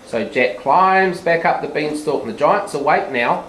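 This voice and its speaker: A teacher retelling a fairy tale simply and deliberately for young children, with asides to them, in a classroom. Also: mid-range voice, expressive, a bit bright. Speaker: teacher narrating a children's puppet show. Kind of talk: live storytelling with asides to the children. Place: school classroom. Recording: echoey room, background noise.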